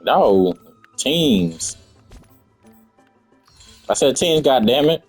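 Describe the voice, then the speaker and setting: Lively young guy gaming. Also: medium pitch, extremely slow, faint music behind. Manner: blunt, slangy. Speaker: gaming streamer. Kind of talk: casual game playthrough commentary.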